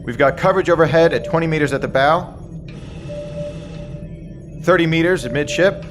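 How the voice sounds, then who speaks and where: A man's voice: fairly high pitch, normal speed, lightly acted. A man playing a dutiful submarine officer, calling out readings in clipped military fashion in an audio drama. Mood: focused, tense. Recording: music clearly under the voice.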